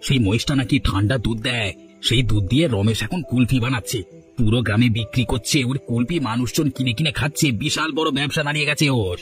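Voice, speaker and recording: A man's voice: mid-range voice, fast, faint music behind, lightly acted. A man playing a jealous, gossiping village sidekick in a cartoon, impatient and conspiratorial. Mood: gossipy, indignant. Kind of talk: cartoon dialogue acting.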